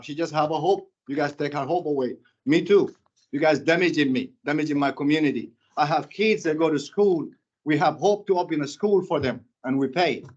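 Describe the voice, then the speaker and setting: Impassioned Sudanese-accented man, slightly high. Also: dull audio. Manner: impassioned, halting, with many restarts and repetitions. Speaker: public commenter. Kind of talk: public comment at a board meeting.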